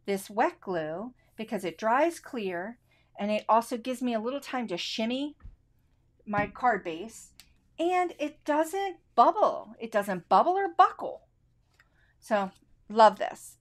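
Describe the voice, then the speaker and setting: A middle-aged woman leading a live card-making demo, chatting enthusiastically and answering viewers' questions. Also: fairly high pitch. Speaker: card-making instructor hosting a live stream. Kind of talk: casual live craft demonstration. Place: craft desk, indoors.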